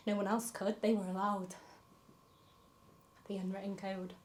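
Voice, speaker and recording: A woman's voice: medium pitch, level tone, a little slow, a little hiss or hum, close to an everyday voice. A woman playing a grieving granddaughter, reflecting confessionally on her fractured family in a film monologue. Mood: wry, reflective. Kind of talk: dramatic monologue in a short film.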